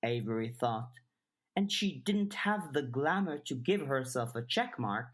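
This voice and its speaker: A woman's voice: extremely low pitch, animated, measured pace, breathy edge. A woman reading scripted fiction as an audiobook narrator. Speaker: audiobook reader.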